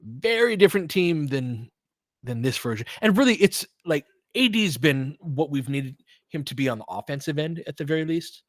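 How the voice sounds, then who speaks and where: A man's voice: a little high, lively intonation, moderate pace, no echo. Man casually commentating live basketball, dry close sound. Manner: conversational, thinking aloud in fragments. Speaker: basketball play-by-play host and fan commentator. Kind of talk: casual live game commentary and fan analysis.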